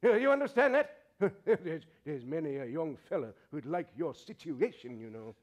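A man acting a bitter old miser, swooping high. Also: lively intonation, normal speed, a little mellow, lightly acted. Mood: irritable, barking. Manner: grumbling, contemptuous, with mocking laughs. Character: bitter old miser, scornful and snappish businessman. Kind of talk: live staged reading of 1930s radio drama. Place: theatre stage, live performance.

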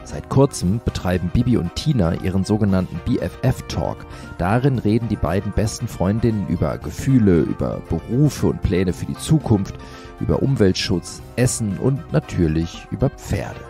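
A male announcer reading a scripted promo with smooth, upbeat delivery. His voice is low-ish and mellow, with a lively melody. Light music plays behind him. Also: normal speed.

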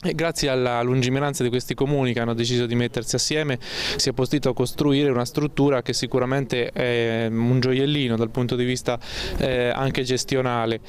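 A man's voice: medium pitch, fairly even intonation, a little fast. A man, explaining a public service in an on-location interview. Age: 30s. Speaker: waste consortium representative. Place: open entrance of a large hall. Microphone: handheld reporter microphone. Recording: clear background ambient noise.

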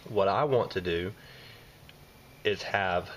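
Low-voiced Southern HVAC tech explaining. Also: male voice, slow, fairly bright tone, slight background noise. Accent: Southern American. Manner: plain step-by-step explaining with frequent uh fillers. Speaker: HVAC technician. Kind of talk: hands-on repair walkthrough. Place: indoors at a furnace in a basement or utility space. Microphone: handheld camera filming close-up work.

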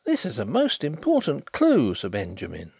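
A man's voice: medium pitch, huge pitch swings, moderate pace, creaky, darkish tone, breathy edge, no echo, studio quality, pitched above the performer's own voice, heavily acted. A man voicing a complacent, pompous middle-aged private inquiry agent in a story reading.

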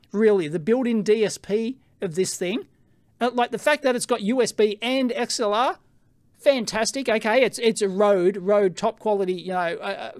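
A middle-aged Australian man with a fairly high voice talks excitably while he tries out a microphone. He thinks aloud, laughs at himself and complains freely. His voice sounds close and dry, with almost no room around it. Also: dry recording. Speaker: electronics video host. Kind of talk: casual product review. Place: cluttered electronics lab indoors. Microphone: studio microphone on a stand.

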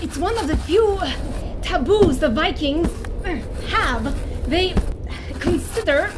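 An actress playing a composed, precise professor in a futuristic audio drama, formal and matter-of-fact. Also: female voice, very high voice, lively intonation, slow pace, very noisy, full character voice. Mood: puzzled, precise.

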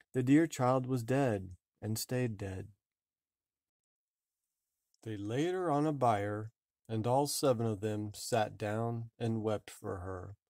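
A man reading a fairy tale aloud as an expressive storybook narrator. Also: mid-range voice, dry recording, clean studio sound. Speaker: storybook reader.

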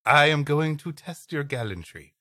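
A man's voice: fairly high pitch, lively intonation, moderate pace, bright, forceful tone, no echo, clean studio sound, pitched above the performer's own voice. A man voicing a courteous lady recalling her frontier past in formal, old-fashioned storybook language. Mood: gently coaxing.

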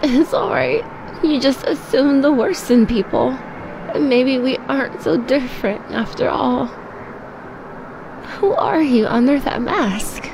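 A woman's voice: sits high, sing-song, measured pace, vocal fry, background noise, full character voice. A woman playing a tough, streetwise young woman who is wounded and out for revenge, in an audio drama. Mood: urgent. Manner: defiant, streetwise. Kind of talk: film-style audio drama acting.

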